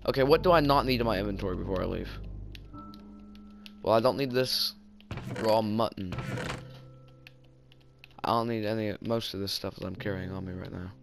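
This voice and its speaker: A man's voice: lowish voice, normal speed, gravelly, pauses often, faint music behind. A young man with a Southern US accent, rambling and joking through casual gameplay commentary while tired. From southern United States. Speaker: gaming YouTuber.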